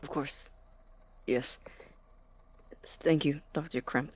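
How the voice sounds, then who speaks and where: A woman's voice: low-pitched, fairly even intonation, takes it slowly, slightly rough, no echo, heavily acted. A woman playing an eager, obsessive young male science student in an audio drama, speaking in an earnest, intellectual, insistent way. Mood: subdued, polite.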